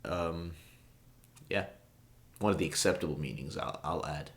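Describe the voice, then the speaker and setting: A man's voice: sits low, a little slow. A young man from Saudi Arabia, a native Arabic speaker, casually explaining the meaning of his song in a meandering, self-correcting way with many fillers.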